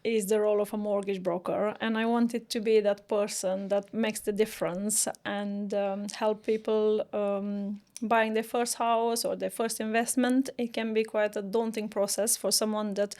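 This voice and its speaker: A woman from Romania, a mortgage broker answering interview questions in an earnest, measured way. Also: medium pitch, level tone, a little mellow.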